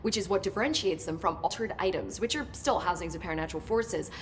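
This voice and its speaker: A woman's voice: on the low side, fast, faint music behind, natural voice. A woman playing an eager, brainy government researcher of the paranormal in a video game, explaining things in a thoughtful, informal way. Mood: curious, enthusiastic. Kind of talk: naturalistic video game dialogue.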